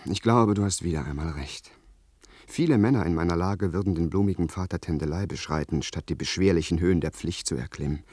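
A man's voice: deep voice, a little fast, darkish tone, no echo. A man playing an earnest, vain, lovestruck young English aristocrat with florid, theatrical delivery in a German radio play. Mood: resolved, musing.

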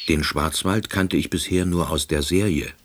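A man's voice: low-pitched, steady tone, normal speed, very noisy, lightly acted. An actor playing a wry, pedantic middle-aged man who narrates his own story in a radio drama, dry and officious. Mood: wry, pensive. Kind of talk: scripted first-person radio drama narration.

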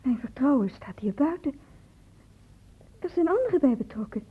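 A woman's voice: high voice, sing-song, moderate pace. A woman playing an anxious, guarded and loyal young student in a Dutch radio drama. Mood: guarded, defiant. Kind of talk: Dutch radio play acting. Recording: muffled, phone-like old radio recording.

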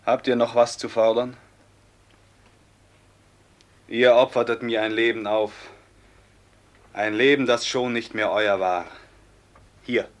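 An actor playing a tormented, brooding robber captain in a classical radio play, with solemn theatrical diction that swings from hushed to outcry. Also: male voice, mid-range voice, fairly even intonation, measured pace, long pauses, lightly acted. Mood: bitter, solemn. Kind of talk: classical German radio play acting, declamatory stage diction.

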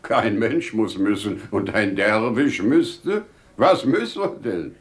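A wise older merchant father in a classical 1950s radio drama. He speaks slowly and rhetorically, his pitch moving widely, teasing one moment and earnest the next. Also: animated. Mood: surprised, amiable. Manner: theatrical, rhetorical, dwelling on words, dramatic pauses. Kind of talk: 1950s German radio play acting, classical verse drama.

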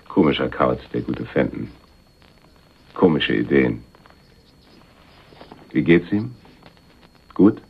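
An actor playing a suave, condescending rich man with quiet menace in a radio crime drama, polite and probing. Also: a bass voice, big pitch swings, drawn-out words, vocal fry, pauses often, slightly rough, exaggerated voice. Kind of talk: 1980s German radio crime drama acting. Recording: slightly dull radio play recording.

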